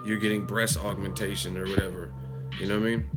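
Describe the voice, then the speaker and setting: A lower-voiced man with a hoarse, worn-out voice, talking loosely and profanely on a live stream. He rambles and circles back with lots of fillers while music plays clearly behind him. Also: flat delivery, fast talker. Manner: rambling, loose and profane, with many fillers like "you know what I mean". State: hoarse, losing his voice. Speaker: boxing commentary host on a live stream. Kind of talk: casual live-stream commentary.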